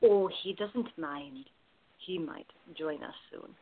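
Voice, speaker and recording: Actress as tough biker woman, radio play, husky rasp, bold and menacing edge, tinny phone-like sound. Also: fairly low pitch, animated, takes it slowly, full character voice. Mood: taunting, seductive.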